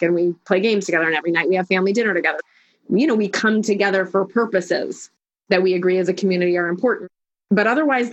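Confident woman coaching on a podcast, talks in firm punchy statements, kinda muffled sound. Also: on the low side. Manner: emphatic, declarative, speeds up when listing. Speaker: life coach, guest. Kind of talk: conversational interview.

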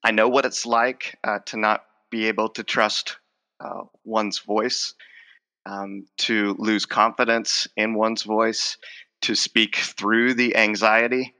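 A man's voice: on the low side, little pitch movement, slow, pushed and bright. A man in his forties, a speech professor who stutters, repeating words and restarting as he answers interview questions. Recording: long hall reverb.

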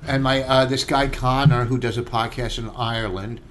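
A man's voice: mid-range voice, steady tone, moderate pace, a bit bright, a little hiss or hum. A man co-hosting a comedy podcast, teasing and prodding in casual banter.

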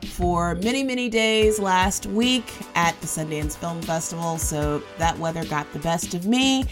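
A woman hosts a podcast in an upbeat, chatty way. Her voice is somewhat low and a little nasal from a cold. The recording has a roomy echo, with faint music underneath. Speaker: podcast host. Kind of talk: podcast intro and roundtable chat. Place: table at a film festival.

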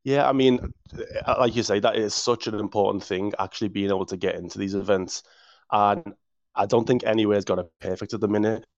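A man's voice: on the low side, fairly even intonation, fairly quick, no echo. A man with a Liverpool accent, a council official answering interview questions with enthusiasm and frequent restarts. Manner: enthusiastic, restarts words often. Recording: muffled dry audio.

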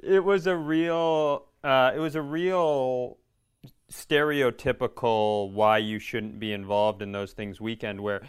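Clear-voiced man bantering on a comedy podcast. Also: mid-range voice, slow pace. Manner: self-deprecating, rambling. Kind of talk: casual comedic banter and announcements.

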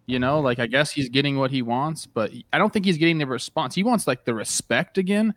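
A man's voice: medium pitch, brisk, a bit bright, no room sound. A man joining a sports podcast remotely as a guest, blunt and exasperated, often saying dude. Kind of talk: casual sports chat. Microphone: remote feed.

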